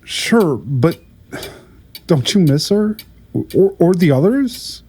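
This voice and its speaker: A man playing a patient older therapist in an audio drama, hesitant and stammering as he gently probes. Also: sits high, big pitch swings, slow, husky, full character voice. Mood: uneasy, placating. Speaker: prison therapist. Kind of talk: audio drama acting, conversational.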